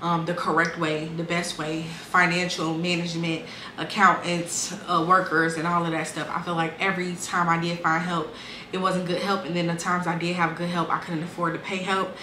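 A woman's voice: on the low side, little pitch movement, moderate pace, sharp tone, roomy sound, a little hiss or hum, poor audio. A woman in her twenties casually and candidly telling her life story, with lots of "um" and "like". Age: 20s. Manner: candid, conversational, frequent fillers and restarts.

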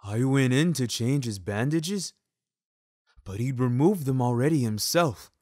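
A man's voice: medium pitch, expressive, a little slow, a little mellow, no room sound, clean studio sound. A man voicing an eccentric, naively earnest landlord in an audio story, with a quirky, emphatic delivery. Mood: earnest, uneasy. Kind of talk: scripted audio story dialogue.

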